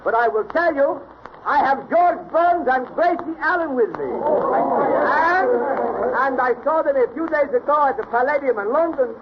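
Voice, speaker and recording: An actor playing a genial, eager ship's captain in 1930s comedy film style, speaking in formal, uncontracted phrases. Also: male voice, extremely high pitch, normal speed. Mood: announcing. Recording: dull noisy old soundtrack, crowd behind.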